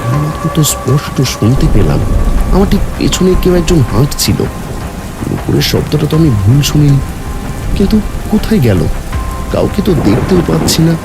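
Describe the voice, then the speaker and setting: A man's voice: on the high side, sing-song, normal speed, pauses often, loud music behind the voice, voice pushed up, poor audio. A man voicing an anxious young village student in a horror cartoon, with fearful, pleading, emotional delivery. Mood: uneasy.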